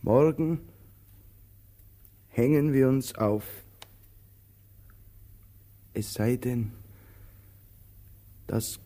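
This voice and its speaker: A man playing a weary, anxious, pensive tramp in a radio play, speaking with halting, brooding pauses. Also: mid-range voice, warm tone. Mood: resigned. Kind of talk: German radio play acting.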